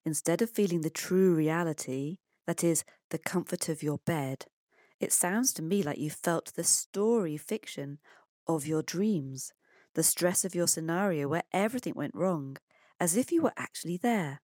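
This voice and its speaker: A woman voicing a calm, understanding father in a children's story, gently reassuring. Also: low voice, moderate pace, no echo, clean studio sound. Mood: explaining, playful.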